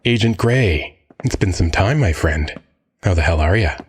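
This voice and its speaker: Man playing a deep, husky, smug crime boss. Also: animated, moderate pace, exaggerated voice. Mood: jovial, smug. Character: swaggering billionaire crime-boss father, smug and menacing. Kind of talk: audio drama acting.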